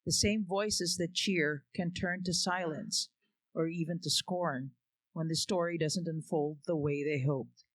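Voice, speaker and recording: A man's voice: on the high side, steady tone, a little slow, fairly bright tone. A man giving an earnest, reflective scripted reading. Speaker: reader.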